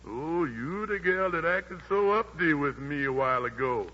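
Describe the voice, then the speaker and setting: Casting: a petty officious army sergeant, bright high voice, dull 1940s radio sound. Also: male voice, lively intonation, normal speed. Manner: blustering, officious, drawling dialect. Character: pompous male army sergeant, petty and flirtatious. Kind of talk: 1940s radio musical drama.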